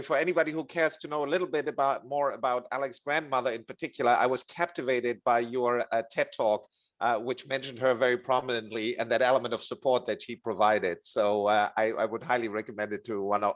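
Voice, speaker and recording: A man at a medium pitch moderates a panel and introduces each guest courteously. His speech is dotted with fillers and restarts. His voice comes over a muffled video-call line. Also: steady tone, quick pace. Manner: gracious, hesitant with frequent fillers.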